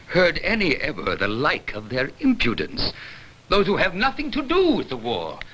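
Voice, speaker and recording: A man's voice: fairly high pitch, wildly swooping pitch, normal speed, a bit bright, exaggerated voice, poor audio. A man playing a pompous, scornful magistrate of ancient Greece in a staged verse reading, sarcastic and declamatory.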